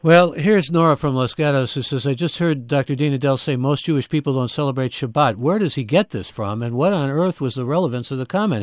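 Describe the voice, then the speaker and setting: A man hosting a radio call-in show, asking long, halting questions and taking callers. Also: mid-range voice, no room sound.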